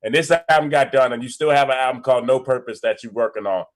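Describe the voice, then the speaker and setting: A man co-hosting a podcast, excitable and swearing freely in casual talk. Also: mid-range voice, quick pace. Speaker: podcast co-host.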